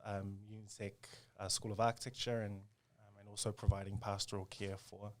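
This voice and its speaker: Male interview guest, New Zealand accent, lower-pitched, casual and halting delivery. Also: level tone. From South Auckland, New Zealand. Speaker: podcast guest, design studio co-founder.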